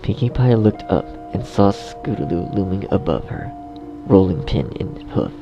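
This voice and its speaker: A man reading scripted dark fiction as a narrator in a measured storytelling manner. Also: deep voice, expressive, highs cut off, over loud music.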